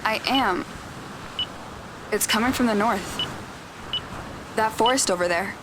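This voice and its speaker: A woman's voice: mid-range voice, takes it slowly, background noise, close to an everyday voice. A woman playing a self-assured, teasing teenage girl in an audio drama, wry and matter-of-fact.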